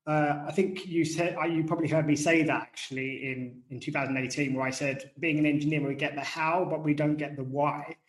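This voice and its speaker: A man's voice: a little high, fairly even intonation, a little fast, few pauses. Chatty British man in an echoey room. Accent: British, softened working-class. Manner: chatty, self-deprecating, digressive. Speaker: guest author. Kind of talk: casual interview talk.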